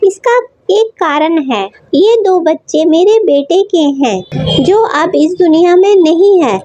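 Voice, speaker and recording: Cartoon grandma, poor and kindly, sorrowful, earnest, high-pitched female performer. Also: lively intonation, slow pace, dark tone, no echo, dull audio, exaggerated voice. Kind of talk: Hindi moral-story cartoon dub.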